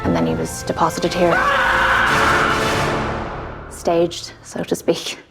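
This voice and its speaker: An actress playing an eager, earnest young police constable in a comic period murder mystery film, with music underneath. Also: female voice, fairly low pitch, sing-song, slow pace, heavily acted. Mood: brisk, deferential.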